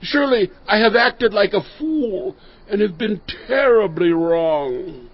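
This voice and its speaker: Slow, high-pitched anguished old king. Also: animated, highs cut off, exaggerated voice. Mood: loud remorse. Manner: slow, drawn-out calling out. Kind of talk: dramatized audio Bible acting.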